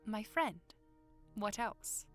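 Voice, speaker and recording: A woman's voice: mid-range voice, animated, extremely slow. A woman playing a polite, shy young princess in an audio drama, with music behind her.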